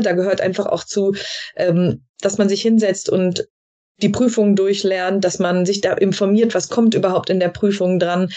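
A woman hosting a conversational podcast interview, phrasing her questions in a searching, halting way with trailing tags. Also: medium pitch, dark tone. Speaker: podcast host interviewing a guest.

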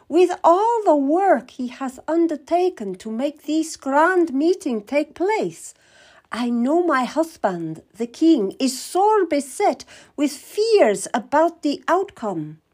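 A woman reading an audiobook voices a shrewd queen who plays meek, in a lilting, slow and sweetly deferential manner. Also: extremely high pitch, sing-song, darkish tone, pitched above the performer's own voice, heavily acted. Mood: sweetly guileful. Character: regal queen, shrewd, feigning meekness. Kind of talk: audiobook character voice.

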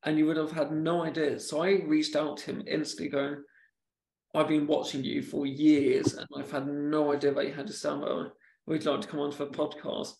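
A man with a stammer talks casually on a podcast, stalling and repeating words as he goes. His voice is slightly high and gravelly with a flat, even pitch. The room around him sounds echoey. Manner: halting, repeats words, speaks of own stammer.

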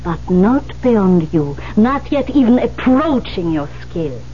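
A woman's voice: mid-range voice, very animated, takes it slowly, dark tone. A woman acting in a 1970s radio drama, playing an old piano teacher, insistent, plain-spoken and commanding. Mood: pleading, coaxing. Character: bossy, persuasive old female piano teacher. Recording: dull 1970s radio broadcast, faint hum.

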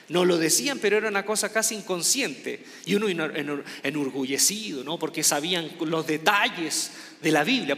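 A man's voice: sits high, a bit bright, long reverb tail. A man from Chile with a Chilean accent, preaching an informal, conversational sermon with many restarts and a self-mocking tone. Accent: Chilean Spanish. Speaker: pastor.

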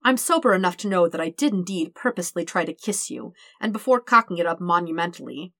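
A woman's voice: on the low side, lively intonation, fast, pushed and bright, no room sound, clean studio sound. A woman voicing a flustered, dramatic, lovestruck young man in a story, rambling in wordy formal phrases. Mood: nervous rambling.

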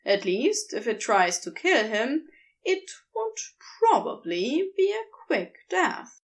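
A woman reading a fantasy story aloud in measured, expressive narration. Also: high-pitched. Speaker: podfic reader.

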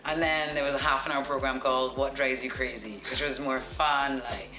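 A woman in her forties with a deep voice, telling stories as an interview guest in a confident, practised broadcaster's way. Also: fairly even intonation, normal speed. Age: 40s. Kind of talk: relaxed storytelling interview. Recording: echoey room with music behind.

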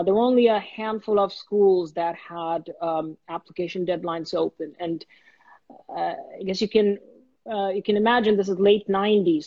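A man in his forties talks through his career as a guest, earnest and steady, often restarting small phrases. His voice sits fairly high for a man. The audio is muffled and lo-fi, with a completely dry, close sound. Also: no room sound. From Bangladesh. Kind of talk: interview, telling his career story.

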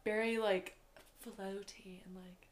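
A woman's voice: medium pitch, fairly even intonation, slow pace. A young woman chatting casually as a podcast co-host, full of "like" and playful teasing. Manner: filler-heavy, lots of like, playful teasing. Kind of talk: casual chat.

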